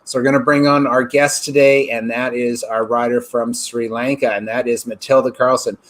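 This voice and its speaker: A man's voice: medium pitch, flat delivery, normal speed, a bit bright. A man hosting an interview show, talking effusively and piling on superlatives. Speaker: show host.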